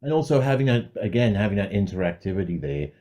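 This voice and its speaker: A man's voice: on the low side, sing-song, fast, dark tone. A man co-hosting a podcast and discussing things in a casual, conversational style. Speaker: podcast co-host.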